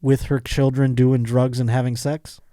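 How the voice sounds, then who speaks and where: A man hosts a casual comedy podcast at a mid-range pitch. He argues in a joking, mock-earnest way and reads items out conversationally. The sound is dry, with very little room. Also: little pitch movement, moderate pace, dark tone, dry recording. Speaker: podcast host. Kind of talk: casual comedic chat, reading out odd news.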